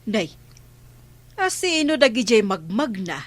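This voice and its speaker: A woman's voice: fairly high pitch, big pitch swings, measured pace, no echo. A woman playing a chatty, opinionated villager in an Ilocano comedy drama, with an Ilocano accent. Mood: curious, gossipy.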